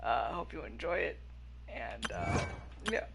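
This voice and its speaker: A man's voice: medium pitch, animated, measured pace, vocal fry, heavy background noise. A man doing casual livestream commentary as a game streamer, rambling and hesitant as he talks to viewers. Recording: loud game music behind voice.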